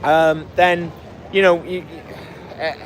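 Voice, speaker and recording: A man hosting a casual post-match podcast, chatting and reading out listener comments. His voice is hoarse and cracking, and he talks in a rambling way, full of fillers and restarts. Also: fairly high pitch, little pitch movement, slow pace, slight background noise, low-quality recording. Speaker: podcast host.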